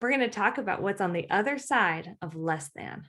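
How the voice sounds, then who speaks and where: Animated, bright-voiced woman hosting a podcast. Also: mid-range voice, moderate pace. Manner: animated, exclamatory, acts out quoted reactions. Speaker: podcast host.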